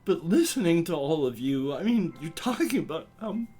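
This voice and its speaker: A man playing a hurt, needy person who is embarrassed by their own feelings, halting and pleading as he tells a story. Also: fairly high pitch, very animated, mellow, breathy edge, soft background music, voice pushed up. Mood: tearful, pleading.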